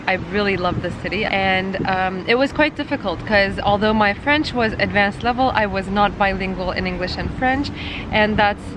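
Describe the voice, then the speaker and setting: A chatty Turkish-born woman in her thirties talks straight to the camera about her own life at an easy, even pace. Her voice sits in a middle register. Clear traffic noise from the city fills the background of this outdoor recording. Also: medium pitch. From Turkey. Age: 30s. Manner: chatty and conversational, frequent "uh" and "um". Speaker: channel host. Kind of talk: casual vlog storytelling to camera. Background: traffic and city ambience.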